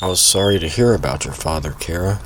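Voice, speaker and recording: An actor playing an old family friend who is soft-spoken and paternal. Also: male voice, sits low, fast talker, very noisy, close to an everyday voice. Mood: gentle. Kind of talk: audio drama acting.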